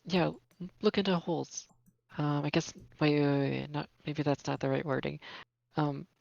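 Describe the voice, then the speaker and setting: A man's voice: on the high side, creaky, no echo, dull audio. A young man from Brazil, raised speaking Portuguese, chatting casually as a podcast guest in a rambling, self-correcting way. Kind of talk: casual chat.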